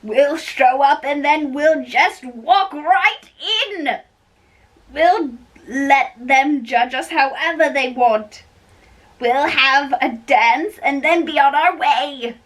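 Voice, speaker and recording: Woman as a high-voiced carefree young man. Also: slow pace, far from the mic, low-quality recording. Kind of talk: amateur dramatic reading of a stage play.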